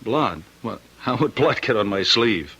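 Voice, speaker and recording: An affectionate, breezy husband in a vintage radio drama. His voice is very sharp and bright, with animated swings of pitch as he teases and dodges. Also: measured pace, husky, lo-fi sound, heavily acted. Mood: puzzled, defensive. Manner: casual, teasing, evasive. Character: breezy affectionate husband, brushing worries aside. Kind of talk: old-time radio drama acting.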